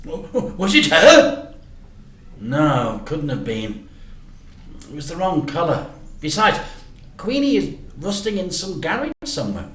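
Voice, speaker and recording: Eager storybook boy, played by a man, high-pitched for him, exclaiming, earnest, echoey room. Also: wildly swooping pitch, slow, long pauses, a bit bright, far from the mic, full character voice. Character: young boy, eager and excitable about his dad.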